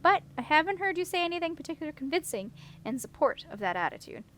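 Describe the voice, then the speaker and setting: A woman voicing a composed, commanding female dragon monarch with a mild, measured, dryly amused manner. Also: sits high, sing-song, a bit bright, a little hiss or hum, voice pushed up. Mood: dryly regal.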